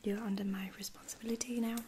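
A woman playing a caring space lieutenant in a whispered ASMR roleplay, breathy, hesitant and soft-spoken. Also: medium pitch, little pitch movement, fast, background noise, natural voice. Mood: reassuring. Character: caring, reassuring female space lieutenant tending a wounded soldier.

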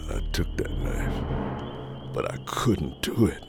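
An actor playing a haunted old former servant with a Southern American accent, telling a horror tale gravely in folksy speech for a radio drama. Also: male voice, slow, close to an everyday voice. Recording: music loud under the voice.

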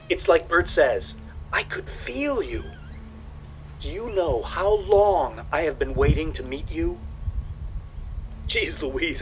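A tender small-town fellow in a radio play, talking to the dead. His voice sits a little high and he speaks slowly and haltingly, with wonder. The sound is dull and lo-fi. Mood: awed, excited. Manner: halting, wondering, repeats himself.

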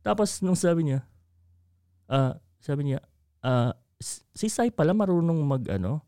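A man's voice: on the high side, expressive, moderate pace, warm tone, clear, resonant tone, no echo, clean studio sound. A man from the Philippines with a Filipino accent, guest in a casual interview, telling a story in Tagalog mixed with English and acting out quoted voices. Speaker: guest, media company founder.

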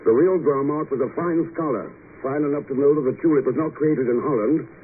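Tinny old radio show, actor as stern american agent guy, measured and in charge, bit of hiss. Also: mid-range voice, lightly acted. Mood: accusing, sure. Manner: measured, authoritative. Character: composed American secret agent hero, stern and knowing. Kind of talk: 1950s radio drama acting. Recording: scratchy, tinny 1950s radio recording.

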